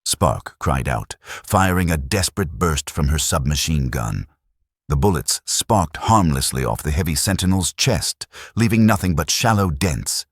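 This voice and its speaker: Very deep male audiobook narrator. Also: lively intonation, slightly rough, dry recording, studio quality. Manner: dramatic, measured delivery.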